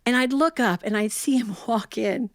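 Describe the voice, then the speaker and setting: A middle-aged woman telling humorous personal stories to an audience in a folksy, animated way, acting out bits of dialogue. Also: fairly high pitch, normal speed, no echo. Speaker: storyteller.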